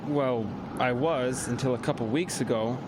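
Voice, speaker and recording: A man's voice: normal speed, natural voice. A man playing a thoughtful teenage boy in an audio drama, explaining things in a matter-of-fact way. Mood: earnest, explaining. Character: thoughtful teenage boy, newly priest to an oracle. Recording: clear outdoor ambience and vehicle rumble.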